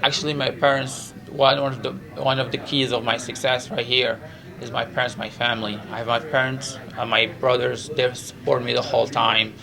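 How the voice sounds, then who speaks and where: Gravelly Moroccan-accented male fighter. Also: mid-range voice, level tone, normal speed, crowd noise, low-quality recording. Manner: earnest, repetitive, non-native English with frequent 'you know'. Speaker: professional MMA fighter. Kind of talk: press interview, casual chat.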